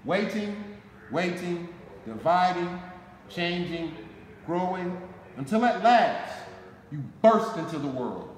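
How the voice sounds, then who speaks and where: A man's voice: high-pitched, expressive. American man reading dramatically in an echoey hall. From Detroit, United States. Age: 40s. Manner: dramatic, deliberate phrasing with emphatic repetition. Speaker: firefighter reading a picture book aloud. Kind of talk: expressive children's story read-aloud. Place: concrete-floored fire station garage, indoors. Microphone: camera a short distance away. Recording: echoey hall, noticeable background noise.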